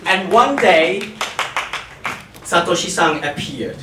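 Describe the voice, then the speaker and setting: A man's voice: high voice, sing-song, takes it slowly, roomy sound, distant mic, over a noisy crowd, heavy background noise. A man from Thailand, telling a personal story on stage with wry, self-mocking humor.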